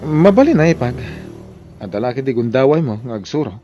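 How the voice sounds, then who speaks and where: A man's voice: mid-range voice, sing-song, fairly quick, dark tone, lo-fi sound, a little hiss or hum, close to an everyday voice. An actor with an Ilocano Filipino accent playing a married man secretly in love with his sister-in-law in an audio drama. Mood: friendly, encouraging.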